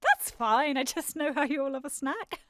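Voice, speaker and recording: A woman's voice: sits high, animated, normal speed, fairly bright tone, no room sound, studio quality. A woman voicing a kindly, fussing mum in a children's cartoon. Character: Mrs Fingal, fussing, kindly snack-offering mum.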